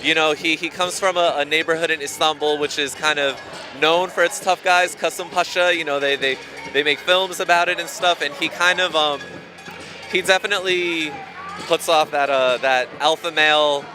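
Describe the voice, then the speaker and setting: A man's voice: a little high, fairly even intonation, normal speed, music in the background. A hungry man speaking informally and ramblingly, with many fillers, in an outdoor street interview. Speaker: activist.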